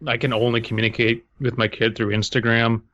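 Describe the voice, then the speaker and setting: A man's voice: medium pitch, level tone, fairly quick, a bit bright, no echo, lo-fi sound. A man co-hosting a podcast, chatting and critiquing a story casually. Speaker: podcast co-host. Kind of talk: casual commentary and banter.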